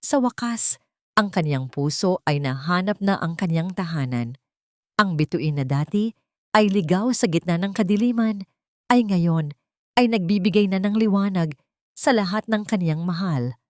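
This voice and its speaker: Low-pitched Filipino woman narrating a story. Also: a little mellow, no echo, studio quality. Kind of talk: scripted dramatic audio story narration.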